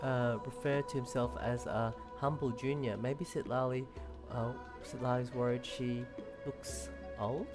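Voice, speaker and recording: A streamer casually reacting to a game and reading dialogue aloud in a hesitant, low-key way. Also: fairly even intonation, a little slow. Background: loud game music.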